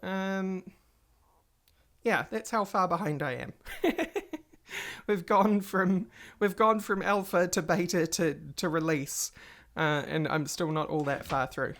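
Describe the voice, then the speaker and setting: A woman's voice: on the low side, takes it slowly. A woman hosting a casual game playthrough, chatting and rambling to viewers while she plays, a little stuffy from a cold. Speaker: gaming channel host. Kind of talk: casual Let's Play commentary.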